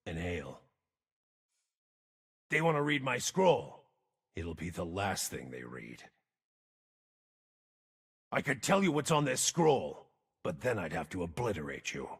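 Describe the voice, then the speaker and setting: A very husky, gravelly game mage, weary and brooding. His grim, terse lines swing widely in pitch and ring with long reverb. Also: wildly swooping pitch, a little slow, long pauses, full character voice. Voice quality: raspy, gravelly. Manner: terse, grim, theatrical delivery. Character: weary, gruff, brooding wandering mage guarding dangerous runes. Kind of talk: video game character voice lines.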